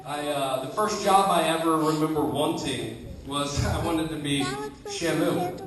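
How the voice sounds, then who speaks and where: A young man doing stand-up, his slightly high voice heard from far off in a very echoey room. He talks in a self-deprecating way and often restarts with "I, uh". A crowd laughs clearly behind him. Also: sing-song, a little slow. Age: young adult. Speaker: stand-up comedian. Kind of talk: stand-up comedy set. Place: comedy show stage with live audience. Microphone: camera recording from a distance.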